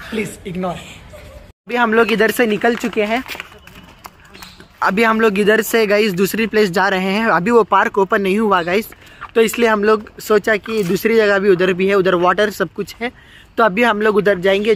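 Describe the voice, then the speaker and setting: A man's voice: sits high, fairly bright tone. A teenage or young man vlogging on his phone while walking through a park, chatting casually and calling out to his viewers again and again. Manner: chatty, repeats "guys" often, mixes English words into Hindi. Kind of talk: casual vlog walk-through. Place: outdoor city park. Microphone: handheld phone.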